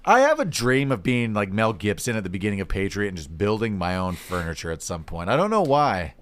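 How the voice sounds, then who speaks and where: A man's voice: on the low side, sing-song, fairly quick, fairly bright tone. A man co-hosting a podcast in casual chat, talking in an informal, rambling way. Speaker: podcast co-host.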